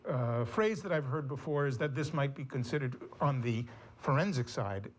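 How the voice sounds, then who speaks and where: A man's voice: medium pitch, animated, normal speed. A man explaining his work in an interview as a neuropsychologist.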